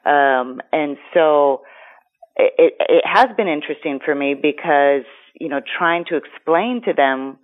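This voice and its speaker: A woman's voice: sits low, unhurried. Greek woman on a tinny phone line. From United States. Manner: rambling, frequent fillers and restarts. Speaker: guest. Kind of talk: casual interview talk.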